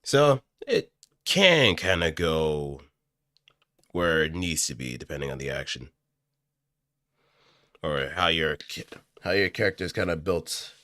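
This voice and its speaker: Man, low pitch, bright forceful tone, casual improvised explaining, thinking aloud, close studio mic, dry sound. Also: very animated, a little slow, pauses often, dry recording. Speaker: amateur voice actor. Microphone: close studio microphone through an audio interface.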